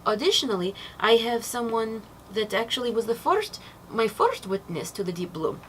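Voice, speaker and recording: High-voiced young woman telling anecdotes. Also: very animated, normal speed, a bit bright, a little hiss or hum. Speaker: speaker presenting product testimonials. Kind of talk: informal storytelling to camera. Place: small decorated indoor set.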